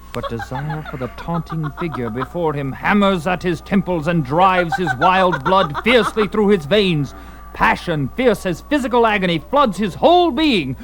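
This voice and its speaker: A man narrating a dramatized story in an intense, theatrical and portentous manner. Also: a little high. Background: prominent music.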